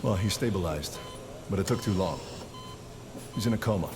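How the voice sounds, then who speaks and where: A man's voice: low voice, animated, normal speed, background noise. A man playing a tense field surgeon in a video game cutscene, speaking in a clipped, pressured way. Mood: grim. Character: tense male field surgeon giving orders. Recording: music behind the voice.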